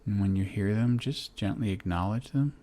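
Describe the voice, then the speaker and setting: A man leading a guided meditation in a gentle, soothing manner, with long pauses between short phrases. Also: lowish voice. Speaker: guide of a relaxation exercise. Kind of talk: guided meditation, body scan.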